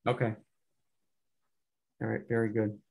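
A man chairing a town board meeting on a video call, relaxed and asking follow-up questions. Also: lowish voice, measured pace, creaky, breathy edge. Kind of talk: casual meeting discussion.

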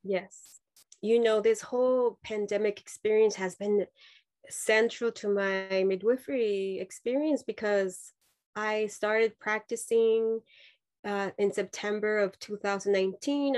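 Earnest woman on a video call. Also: mid-range voice. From Mexico. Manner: earnest, reflective, many fillers and restarts. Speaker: nurse-midwife guest. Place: home room with dark sofa. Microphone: laptop video call.

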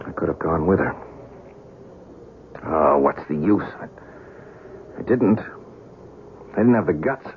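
An actor playing an agitated, skeptical young journalist in a 1970s radio drama, halting and exasperated. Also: male voice, extremely low pitch, animated, full character voice. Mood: shaken, rueful. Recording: muffled, noisy vintage radio broadcast.

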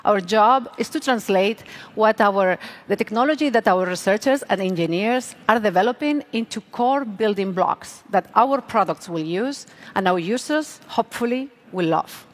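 A woman in her forties giving a rehearsed product keynote on a large stage, with deliberate, emphatic phrasing. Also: medium pitch, lively intonation. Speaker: product manager presenting.

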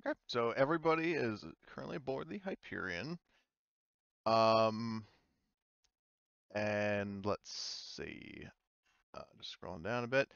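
A man's voice: lowish voice, expressive, measured pace, gravelly, long pauses, a bit bright, clean tone, no echo, dull audio. A man acting as game master, informally narrating and explaining an online tabletop roleplaying game with hesitant phrasing.

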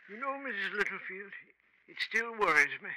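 An actor playing a humble, worried janitor in a 1950s radio drama, hesitant and brooding. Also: male voice, high voice, sing-song, normal speed, sharp tone, exaggerated voice, low-quality recording. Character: uneasy, humble college janitor, husband. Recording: old 1950s radio recording.

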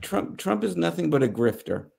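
A middle-aged American man giving news commentary on a video call, halting and searching for words. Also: a little high, sing-song, gravelly, a crowd in the background. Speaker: panelist. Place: small home office, indoors.

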